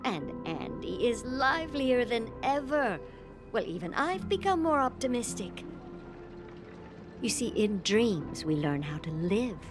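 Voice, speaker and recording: A gentle older lady from a video game cutscene. Her voice is fairly high and swings expressively as she talks with earnest, reflective care. Music plays clearly behind her. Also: sing-song, unhurried. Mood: reassuring, hopeful. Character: gentle old woman caring for orphaned children. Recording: loud music under the voice.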